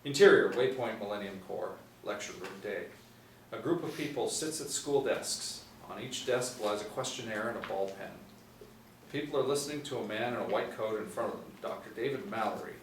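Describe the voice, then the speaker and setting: A middle-aged man narrates screenplay directions in a low voice. He is recorded in an echoey room, some distance from the mic, with faint noise behind him. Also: fairly quick. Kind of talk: scripted narration at a screenplay table read. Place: small indoor room, seated group reading.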